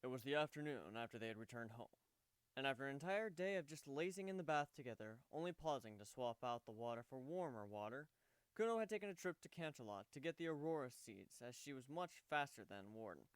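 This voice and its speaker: Animated, smooth-voiced male story narrator. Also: medium pitch, fairly quick, no echo. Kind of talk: dramatic fan fiction reading, scripted narration.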